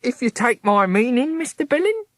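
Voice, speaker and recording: A man's voice: very high voice, sing-song, moderate pace, no echo, clean studio sound, voice pushed up. A man reading aloud, voicing a cheeky young male prisoner who speaks in a knowing, insinuating way. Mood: sly.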